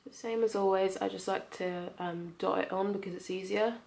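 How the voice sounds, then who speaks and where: A woman's voice: mid-range voice, fairly even intonation, moderate pace, gravelly, a little mellow. A young woman with a slight cold, chatting her way through a makeup tutorial with plenty of ums. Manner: chatty, friendly, lots of ums. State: has a cold, slightly nasal. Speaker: beauty vlogger.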